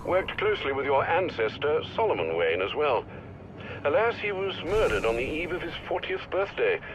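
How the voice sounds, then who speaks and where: Expressive genteel butler, radio. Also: male voice, fairly high pitch. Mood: informative, courteous. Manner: formal, refined, measured. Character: genteel, deferential, formal manservant. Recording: dull radio sound with loud noise.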